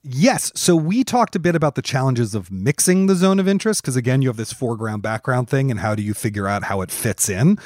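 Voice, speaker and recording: A man's voice: mid-range voice, expressive, brisk, few pauses, darkish tone, no echo. Male podcast host, dry close sound. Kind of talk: conversational podcast interview.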